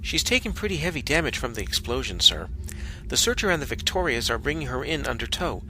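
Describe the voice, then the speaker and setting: An actor playing a brisk starship officer who gives crisp commands in a fan audio drama. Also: male voice, mid-range voice, sing-song, a little hiss or hum, rough recording. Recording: loud music score underneath.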